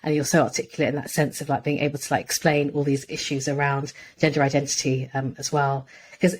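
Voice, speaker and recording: A woman hosts a podcast interview in a low, deep-set voice. She is effusive and chatty, restarting her sentences and filling pauses. A faint hiss of noise sits under the recording. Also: level tone, rough recording. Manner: effusive, many fillers and restarts. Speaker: podcast host. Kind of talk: warm interview chat.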